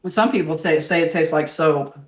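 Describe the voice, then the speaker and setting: A woman's voice: sits low, steady tone, brisk. Older woman, homey host, earnest chatter that turns preachy, roomy kitchen sound. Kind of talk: casual chat while cooking. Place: home kitchen.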